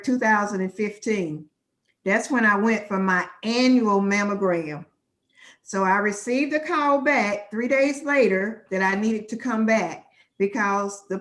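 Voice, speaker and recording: A woman speaks slowly and carefully as she reads her story from notes. Her pitch sits in an ordinary middle range. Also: a bit bright. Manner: reading carefully from written notes. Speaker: guest. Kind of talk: personal testimony.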